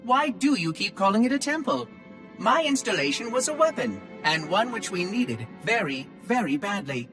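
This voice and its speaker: Ancient machine AI, prim and fussy, high and bouncy delivery, game cutscene under loud score. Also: male voice, sing-song, moderate pace, over music. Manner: prim, precise, fretful. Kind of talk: video game cutscene acting.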